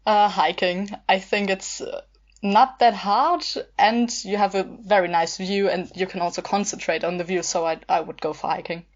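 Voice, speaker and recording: A woman's voice: medium pitch, fairly even intonation, moderate pace. A woman taking part in a casual podcast chat, talking informally and conversationally. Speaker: podcast participant. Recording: slightly dull podcast recording.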